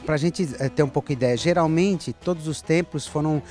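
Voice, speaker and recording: Chatty brazilian man, higher voice. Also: brisk, a little mellow, faint music behind. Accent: Brazilian Portuguese. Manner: chatty, easygoing, asks leading questions. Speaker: cooking show host. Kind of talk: travel show interview. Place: outdoors at an ancient temple.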